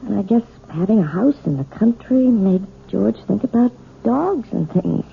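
An actress playing a timid, proper wife who gives piano lessons, speaking gently and nervously in a 1970s radio drama. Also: female voice, medium pitch, lively intonation, normal speed, creaky, slight background noise. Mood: nervous, prim. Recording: tinny, narrow old radio broadcast.

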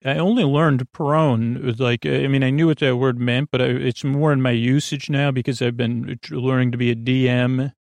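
Gravelly, meandering male podcast host. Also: mid-range voice, fairly even intonation, a little mellow, dry recording. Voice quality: gravelly, creaky. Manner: meandering, halting, full of digressions and trailing thoughts. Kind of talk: rambling bedtime sleep podcast monologue.

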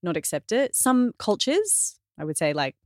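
A woman guesting on a podcast, a fitness trainer talking in an animated, earnest way with lots of "like" and self-corrections. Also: mid-range voice, normal speed, dry recording, studio quality. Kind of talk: casual podcast conversation.

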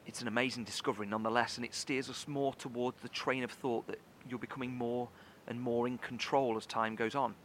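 A man's voice: medium pitch, fairly even intonation, fairly quick, a bit bright, slight background noise, lightly acted. An actor playing an inquisitive, earnest man in a horror audio drama, probing and analytical as he speaks. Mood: intrigued, urgent. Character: inquisitive, earnest man piecing a mystery together.

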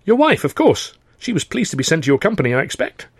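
A man's voice: fairly high pitch, sing-song, fast talker, breathy edge, dry recording, pitched above the performer's own voice, heavily acted. A man voicing a cheerful, gossipy upper-class young man with a chummy, posh-sounding manner. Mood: chatty.

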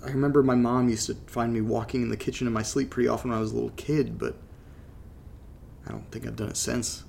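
Gravelly, congested young man, troubled and hesitant, confessional horror podcast diary, faint rumble behind. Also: level tone, fast talker, darkish tone. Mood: uneasy, wry. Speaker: podcast narrator in horror audio drama. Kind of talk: confessional audio diary, casual halting monologue.

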